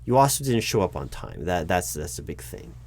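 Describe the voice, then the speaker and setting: A man doing a roleplay as a job interviewer, talking in a deliberate, dry way with frequent ums. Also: low-pitched, huge pitch swings, vocal fry, darkish tone. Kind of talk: scripted ASMR roleplay.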